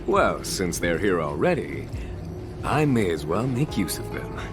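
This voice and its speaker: An actor playing a shrewd, composed general in a video game, speaking in a measured, courtly, self-assured way. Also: male voice, deep voice, big pitch swings, music in the background. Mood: smooth, confident. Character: composed, shrewd, genial male army general. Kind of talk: dramatic video game voice acting.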